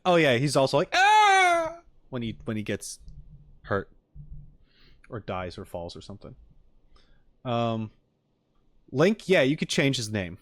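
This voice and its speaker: A man's voice: medium pitch, sing-song, measured pace, long pauses, no echo. Male streamer, mid-range voice, casual and opinionated with a jokey streak, close dry microphone sound. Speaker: streamer reacting to game clips. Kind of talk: casual livestream chat.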